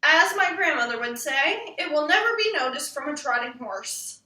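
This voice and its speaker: A woman voicing a patient, wry father in a children's story, with a measured, dryly humorous manner. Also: sits high, animated, bright, forceful tone, reverberant, far from the mic, low-quality recording. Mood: wry, reassuring. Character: patient, wry, gentle father of a young girl.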